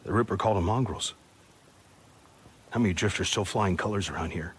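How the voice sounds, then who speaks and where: An actor playing a weary, resentful camp work boss in a video game cutscene, blunt and argumentative. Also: male voice, low-pitched, brisk, a little hiss or hum, close to an everyday voice. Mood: grim, accusing.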